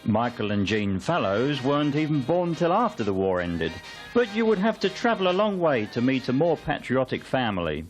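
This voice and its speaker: A man narrates a local newsreel from a script. His delivery moves up and down in a lively way, at a middling pitch, with faint music underneath. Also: moderate pace.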